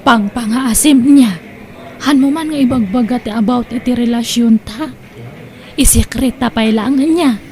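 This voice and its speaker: A woman's voice: a little high, unhurried, darkish tone. A woman playing a coy, secretive young woman in a comedy drama, with an Ilocano accent. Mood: coy, evasive. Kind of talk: Ilocano comedy radio-style drama acting.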